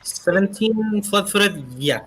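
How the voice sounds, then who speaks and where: A man running a tabletop roleplaying game as game master, talking in a hesitant, halting way. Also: high voice, expressive, fairly bright tone.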